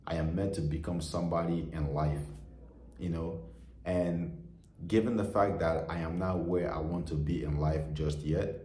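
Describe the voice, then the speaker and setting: Very deep-voiced man in his twenties. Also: darkish tone. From Nigeria. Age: 20s. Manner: earnest heart-to-heart, frequent "you know" fillers. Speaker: vlogger. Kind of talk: motivational talk to camera. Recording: echoey room.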